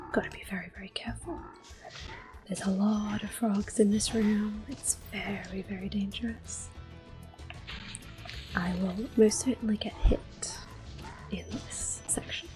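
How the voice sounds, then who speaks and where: A woman in soft, unhurried whispers, commenting on her gameplay as an ASMR gamer. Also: medium pitch. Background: soft game music and controller clicks.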